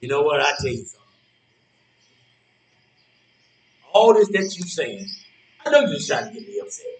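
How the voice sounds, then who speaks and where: A man's voice: on the high side, big pitch swings, fast, reverberant, far from the mic, low-quality recording. A man playing a grumpy, defensive character in a stage play, bickering with a rival in a combative, dismissive, colloquial way. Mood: bitter.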